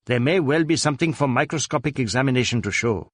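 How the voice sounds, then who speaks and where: A man voicing an earnest, deferential Indian police inspector in an audiobook, polite, careful and brisk. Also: mid-range voice, expressive, no room sound, studio quality, lightly acted.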